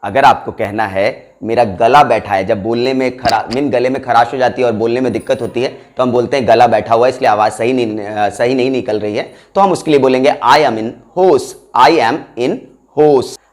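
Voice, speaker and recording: A man with an Indian accent teaching spoken English, explaining quickly and then saying each example sentence slowly and carefully. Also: medium pitch.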